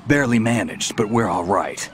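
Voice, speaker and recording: A man playing a weary, hardened legendary soldier in a video game, speaking tersely with a gruff, gravelly voice and stoic calm. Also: fairly low pitch, normal speed, a little hiss or hum, lightly acted. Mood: stoic, resolute. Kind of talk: video game cutscene dialogue.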